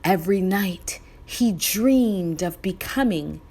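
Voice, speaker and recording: A woman narrating a children's story read-aloud in an expressive, deliberate way. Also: medium pitch.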